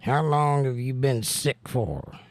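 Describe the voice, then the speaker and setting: An American man hosting a casual livestream and reading out viewer comments, hoarse from laryngitis, folksy and joking with preachy asides. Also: mid-range voice, very animated, slow. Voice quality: hoarse, strained. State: laryngitis, losing his voice. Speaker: livestream host.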